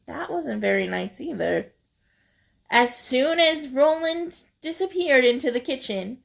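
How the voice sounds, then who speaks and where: Slow, higher-voiced woman reading a picture book. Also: pushed and bright. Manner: deliberate, emphatic, addressing young listeners. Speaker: children's read-aloud storyteller. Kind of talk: expressive picture-book narration.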